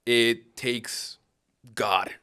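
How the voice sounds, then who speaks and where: A man's voice: medium pitch, steady tone, drawn-out words, sharp tone, smooth. A man in his thirties, originally from Peru, explaining patiently in a casual conversation with frequent rhetorical questions.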